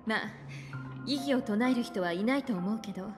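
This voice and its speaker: An actress playing a composed, thoughtful woman in a video game, reasoning calmly and with assurance. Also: a little high, brisk, lightly acted. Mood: resolute. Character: composed, thoughtful, mature female traveling companion. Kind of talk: Japanese game voice acting. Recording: long reverb, clear music behind.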